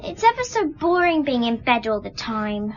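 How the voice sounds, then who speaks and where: A woman's voice: fairly high pitch, big pitch swings, normal speed, highs cut off. A female performer playing an innocent, trusting little girl with candid, childlike phrasing in a radio drama.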